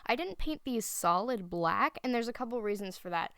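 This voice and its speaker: A woman giving a relaxed voice-over, explaining her painting steps as she thinks aloud. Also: fairly high pitch, moderate pace, smooth, dry recording, clean studio sound. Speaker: art instructor. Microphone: voice-over recorded separately.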